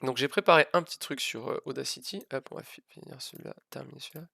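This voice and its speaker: A man's voice: medium pitch, fast, gravelly, bright, forceful tone, no echo. A man giving an informal live physics lesson, hesitant and self-deprecating, repeating and stressing key words as he explains. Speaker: physics teacher streaming live.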